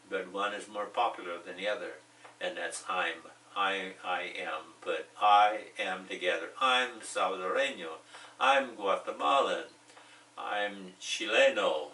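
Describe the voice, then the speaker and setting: Guy teaching english real slow, deep voice, mic kinda far off in an echoey room. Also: bright, forceful tone. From United States. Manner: slow, repeats example phrases, joking asides. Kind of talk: bilingual language lesson.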